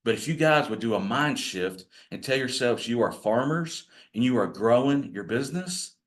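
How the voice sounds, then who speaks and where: A man's voice: medium pitch, animated, moderate pace. A man with a growly voice, giving a folksy, rambling training talk to a group of real estate agents. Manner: folksy, rambling, self-deprecating. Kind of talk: casual workshop talk to a group.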